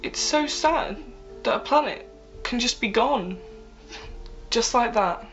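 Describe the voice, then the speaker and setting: A woman's voice: on the high side, expressive, slow, gravelly, a bit bright, muffled recording, faint music behind, exaggerated voice. A woman playing a sassy, sceptical and curious travelling companion in an audio drama. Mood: wistful.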